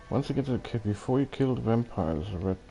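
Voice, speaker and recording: Man, mellow relaxed tone, casual game streamer, hesitant, thinking aloud, game music behind. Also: medium pitch, rough recording. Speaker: livestreamer playing a video game. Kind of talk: casual rambling game stream commentary, chatting with viewers.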